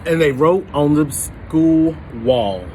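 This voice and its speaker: A man with a slightly high voice reads a children's picture book aloud. He stops to prompt young listeners with questions. There is some ambient noise behind him. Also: lively intonation, takes it slowly, mellow, poor audio. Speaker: storybook reader for children.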